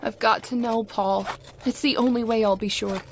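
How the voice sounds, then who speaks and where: A woman's voice: on the high side, steady tone, normal speed, a little hiss or hum, close to an everyday voice, low-quality recording. A woman acting a frightened, haunted, obsessive wife in an old-time radio horror play, fearful and insistent.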